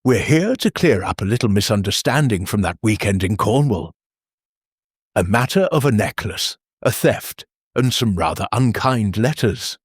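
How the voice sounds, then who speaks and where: A man voicing a placid, shrewd elderly village lady sleuth, with a lilting, calm but cutting delivery in an audiobook mystery. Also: on the low side, moderate pace, breathy edge, dry recording, clean studio sound. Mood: deceptively innocent.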